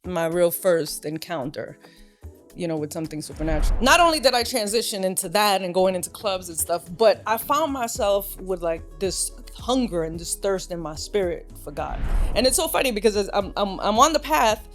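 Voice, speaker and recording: A woman's voice: medium pitch, animated, moderate pace, soft background music. A woman from Brooklyn, New York, a podcast guest sharing her personal testimony in an earnest, reflective way.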